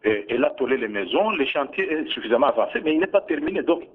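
A man's voice: mid-range voice, lively intonation, quick pace, slight background noise. A man from DR Congo with a Congolese accent, speaking as a government minister in a radio interview, insistent and emphatic, repeating his points. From Bunia, DR Congo. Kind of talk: defensive radio interview answers. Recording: tinny phone-like radio sound.

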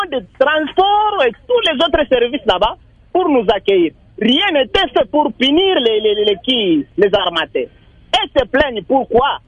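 Young guy calling a radio show from maniema, stumbles and repeats himself, high pitch, dry sound. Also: a bit bright, no room sound, highs cut off. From Maniema, Congo. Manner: halting, repeats words, indignant complaint. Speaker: radio caller. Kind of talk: call-in comment.

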